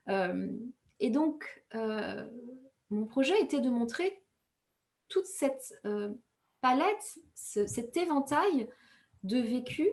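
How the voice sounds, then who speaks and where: A woman from Rwanda whose first language is Kinyarwanda answers interview questions as an author. She speaks in a fairly high voice, reflective and measured, often pausing and correcting herself as she builds her thoughts. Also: a little mellow. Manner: thoughtful, measured, with frequent hesitations and self-corrections.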